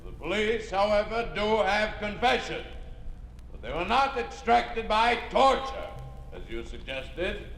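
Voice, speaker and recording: Cold presiding official, high gravelly voice, declamatory old radio style, echoing chamber. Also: male voice, sing-song, a bit bright, exaggerated voice. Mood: cold, accusing. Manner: formal, deliberate, declamatory. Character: pompous, cold regime official presiding over a senate. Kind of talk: 1950s radio drama oratory. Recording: echoey hall, faintly noisy old radio recording.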